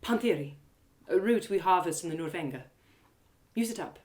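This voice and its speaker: A woman voicing a fierce, guarded, hot-tempered warrior woman in an audio drama, with curt, gruff delivery. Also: lowish voice, expressive, moderate pace, darkish tone, lightly acted. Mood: halting, subdued. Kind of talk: one-woman audio drama character acting.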